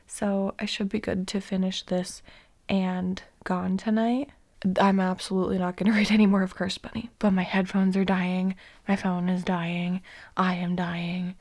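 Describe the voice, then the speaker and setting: A hoarse, exhausted woman vlogging casually at home late at night, talking in a tired, rambling half-whisper. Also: medium pitch, a little mellow. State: exhausted from no sleep. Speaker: book vlogger.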